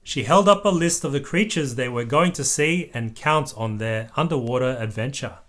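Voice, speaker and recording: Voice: medium pitch, moderate pace, fairly bright tone. A parent reading a children's story aloud expressively, with patient explanatory asides to a small child.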